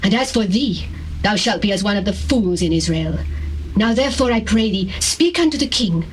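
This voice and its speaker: An actress as an anguished, pleading young princess. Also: female voice, mid-range voice, expressive, normal speed, close to an everyday voice. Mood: desperate pleading. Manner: earnest theatrical pleading in archaic biblical English. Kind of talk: dramatized Bible reading. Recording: faintly noisy old cassette tape.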